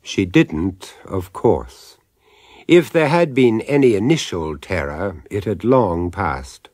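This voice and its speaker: A slow, measured narrator for a supernatural radio tale. His mid-range voice moves expressively and dramatically, recorded very dry. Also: mid-range voice, darkish tone, no echo. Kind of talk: scripted storytelling narration, radio horror drama.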